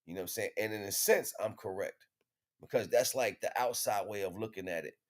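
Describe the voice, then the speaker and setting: Low voiced guy on a stream doing spiritual teaching, slangy, emphatic, repeats himself a lot. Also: brisk. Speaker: livestream host. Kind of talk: informal spiritual teaching monologue.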